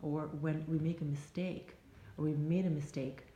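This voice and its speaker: A native Russian-speaking woman with a Russian accent, giving reflective advice to camera in a halting, thoughtful way with pauses mid-sentence. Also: low-pitched, steady tone, dark tone. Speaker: life coach and vlogger. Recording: roomy echo, faint background noise.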